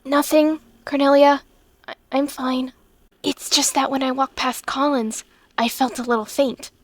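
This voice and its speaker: A woman's voice: high voice, little pitch movement, unhurried, no echo. A woman voicing a spirited, eager and defiant teenage schoolgirl in a comic dub, earnest and emotive. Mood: uneasy. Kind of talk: earnest fandub acting.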